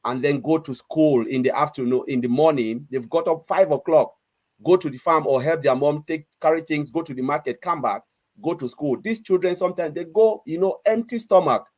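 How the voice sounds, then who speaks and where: A man with a Cameroonian accent and a fairly high voice speaks off the cuff on a panel. He is earnest and repeats his words for emphasis. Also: moderate pace, a little mellow. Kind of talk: casual, unscripted discussion.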